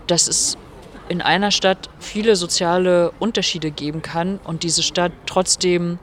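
A woman's voice: fairly low pitch, steady tone. Earnest woman author with faint street noise. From Germany, Rostock. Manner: earnest, explanatory, measured. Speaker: author interviewed as guest. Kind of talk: thoughtful interview answers. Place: venue in Rostock before a reading.

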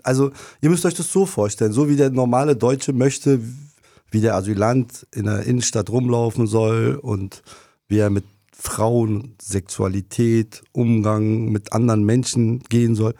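Gravelly-voiced, mellow-toned man, German-born, opinionated podcast guest. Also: medium pitch, steady tone, normal speed, dry recording, studio quality. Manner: emphatic, laughs while ranting. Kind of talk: casual discussion.

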